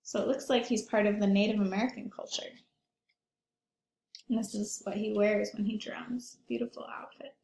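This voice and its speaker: A woman's voice: medium pitch, fairly even intonation, normal speed, vocal fry, a little mellow. A woman talking to young children during a read-aloud, putting questions to her listeners. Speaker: read-aloud teacher or librarian. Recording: echoey room.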